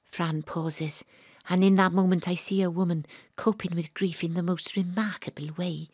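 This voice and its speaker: A woman's voice: lowish voice, steady tone, moderate pace, a little mellow, husky, dry recording, clean studio sound. A woman playing a wry, world-weary private detective who narrates her own story in a confiding way in an audio drama. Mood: gentle. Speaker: narrator and lead character.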